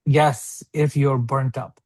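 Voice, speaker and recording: A man teaching an informal interactive read-along class, explaining phrase by phrase in a halting way and often checking for understanding. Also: mid-range voice, fairly even intonation, a little mellow, no echo. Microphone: online video call.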